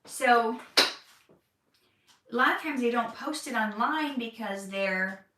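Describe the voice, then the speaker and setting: Chatty woman with bright, roomy sound. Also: fairly high pitch, recorded from a distance. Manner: chatty, candid, laughs easily. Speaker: actress. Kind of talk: casual livestream Q&A chat. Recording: roomy echo, voice from off-mic.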